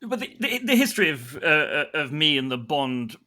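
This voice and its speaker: A man's voice: fairly high pitch, big pitch swings, moderate pace, pushed and bright. A man playing an insecure, self-important actor from a famous dynasty, stammering defensively in a mockumentary interview. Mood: flustered, defensive.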